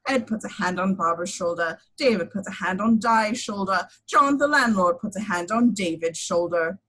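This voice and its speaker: Slightly husky woman reading stage directions. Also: mid-range voice, expressive, moderate pace, a bit bright. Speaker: narrator reading action description. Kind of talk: scripted screenplay table read narration. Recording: home recording.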